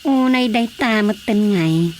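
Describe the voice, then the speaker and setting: A woman's voice: mid-range voice, unhurried, natural voice, low-quality recording. A woman playing a curious, easily astonished character in a radio comedy drama, questioning and exclaiming in an Ilocano accent. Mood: concerned. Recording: faint background noise.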